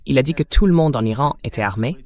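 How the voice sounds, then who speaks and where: Man hosting a political interview, mid-pitched. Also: animated, fast, a little mellow. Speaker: interview host. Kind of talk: conversational political discussion, dubbed translation read by AI voice.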